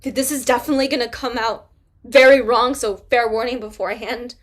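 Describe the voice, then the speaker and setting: Brief: high-voiced actress playing a grieving young mother-to-be, raw and halting. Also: fairly even intonation, close to an everyday voice. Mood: anguished. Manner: halting, trailing into quiet, near-whispered confessions. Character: grieving young pregnant woman, frightened and raw. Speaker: actor in a staged play reading. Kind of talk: staged play reading.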